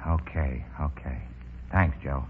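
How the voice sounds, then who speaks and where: An actor playing a menacing, hot-tempered gangster with a cold sneer in a 1930s radio drama. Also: male voice, extremely low pitch, fairly even intonation, slow, slight background noise. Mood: brusque. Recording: muffled, band-limited 1939 radio broadcast.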